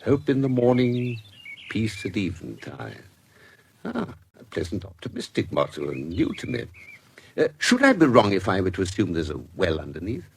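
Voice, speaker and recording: An actor with a British accent playing an urbane, shrewd medico-legal investigator in a radio drama, speaking with courteous, measured formality. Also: male voice, sits low, huge pitch swings, creaky, slight background noise, exaggerated voice. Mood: genial, probing. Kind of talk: period radio drama acting.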